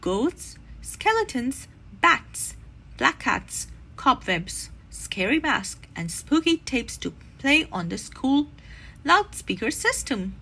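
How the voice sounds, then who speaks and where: A woman voicing a boisterous, excitable father bear in a storybook with an emphatic, hammy delivery. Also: high voice, slow pace, slightly rough, full character voice, low-quality recording. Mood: busy, excited.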